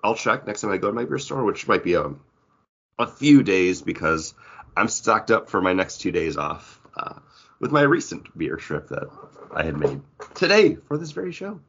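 A man's voice: lowish voice, sing-song, normal speed, creaky, fairly bright tone, highs cut off, slight background noise. A man chatting casually and bantering as a podcast co-host. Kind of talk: casual chat.

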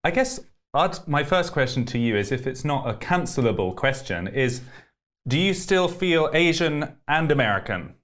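A man hosting a podcast interview, asking questions in a measured, thoughtful way with hedges. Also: on the high side, very animated, fairly bright tone. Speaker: podcast host.

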